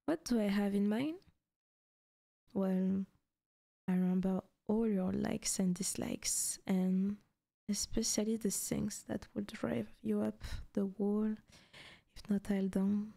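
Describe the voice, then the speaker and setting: Soft, teasing demon girlfriend acted by a woman. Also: mid-range voice, little pitch movement, slow pace, vocal fry. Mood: teasing, affectionate. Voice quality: soft, often breaking into whispers. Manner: teasing, coaxing, possessive. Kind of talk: intimate ASMR roleplay.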